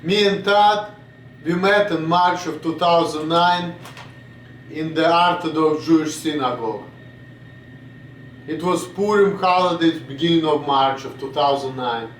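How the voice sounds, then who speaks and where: A man's voice: on the high side, unhurried, echoey room, distant mic, a little hiss or hum, low-quality recording. A man in his late twenties from Russia, with a Russian accent, rambling bluntly in a casual vlog and swearing now and then. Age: 20s. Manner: blunt, swearing, drifts off topic. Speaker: vlogger.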